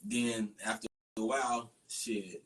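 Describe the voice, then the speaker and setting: A man's voice: mid-range voice, steady tone, slow pace, a bit bright. An American man chatting casually and bluntly as a guest fighter, with slangy, profane speech. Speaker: MMA fighter, podcast guest. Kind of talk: casual chat. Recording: distant mic, noisy room.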